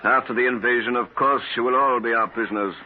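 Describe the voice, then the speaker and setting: A man's voice: mid-range voice, steady tone, natural voice. Man playing a coldly menacing Martian agent, tinny old radio. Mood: smug menace. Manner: formal, measured, politely threatening. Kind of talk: 1950s radio drama acting. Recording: muffled, noisy old radio broadcast.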